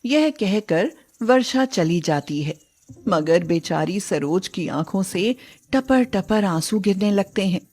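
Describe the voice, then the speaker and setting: Slow-paced woman storyteller. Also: medium pitch, animated, a little mellow. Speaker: narrator of a moral story. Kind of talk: scripted dramatic storytelling narration.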